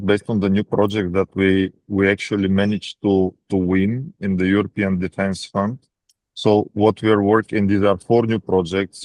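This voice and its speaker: Man, Bulgarian accent, low even voice, dry sound, halting corporate answers with repeated words. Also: no echo. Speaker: defense practice lead at a technology company. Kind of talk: answering questions on an earnings call.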